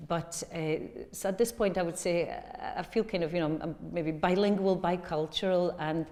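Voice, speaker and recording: A middle-aged woman from Poland, a native Polish speaker, talks in a low voice during an interview. She is reflective and hesitant, pausing often. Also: fairly even intonation, a little mellow. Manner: hesitant, frequent filler pauses.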